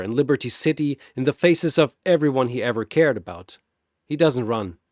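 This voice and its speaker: A man narrating a scripted story in a measured, dramatic way. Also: mid-range voice, no room sound, studio quality.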